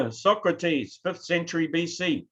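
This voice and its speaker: A man's voice: on the high side, expressive, takes it slowly, a bit bright, husky, highs cut off. A man with a New Zealand accent giving a keynote lecture to an online conference audience, now and then asking them to react. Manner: addresses a live online audience, asks for reactions. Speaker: keynote speaker.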